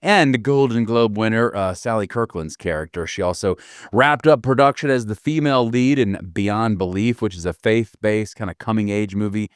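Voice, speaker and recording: A man hosts a podcast interview in a friendly, teasing way, making small jokes at his own expense. His voice sits low and comes through smooth and clear on a dry, close recording. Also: normal speed, no echo. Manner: friendly, joking, self-deprecating. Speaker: podcast host. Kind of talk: casual interview talk.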